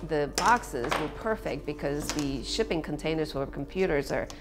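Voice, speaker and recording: A woman's voice: low voice, animated, normal speed, loud music behind the voice. A woman from Taiwan, an artist talking reflectively about her own work in a documentary interview. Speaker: visual artist.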